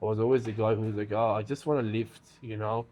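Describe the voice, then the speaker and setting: Chatty Iran-born man, relaxed. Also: fairly low pitch, fast talker. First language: Farsi. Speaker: bodybuilder. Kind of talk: casual sit-down interview.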